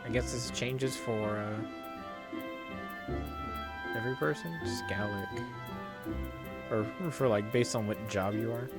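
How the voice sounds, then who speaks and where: A man's voice: on the low side, steady tone, slow, pauses often. A man streaming a game live, chatting casually and commenting on what he comes across. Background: music.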